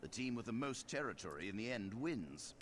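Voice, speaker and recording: Hearty, bloodthirsty battlemaster for a fantasy game; lowish, swooping theatrical delivery over clear noise. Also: male voice, very animated, a little fast. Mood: bloodthirsty relish. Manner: theatrical, grandiose. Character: hearty male battlemaster relishing bloody arena games. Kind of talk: video game character dialogue.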